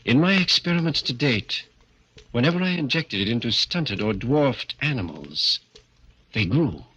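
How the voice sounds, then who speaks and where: An actor playing an earnest, persuasive research doctor in a 1940s radio drama, polite and measured. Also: male voice, medium pitch, sing-song, a bit bright, slightly rough, exaggerated voice, poor audio.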